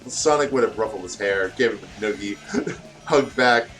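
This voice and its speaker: A man's voice: on the low side, moderate pace, fairly bright tone, faint music behind, poor audio. A tired man co-hosting and reading fan fiction aloud in a casual way, stumbling over words and adding joking asides. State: tired, short of sleep.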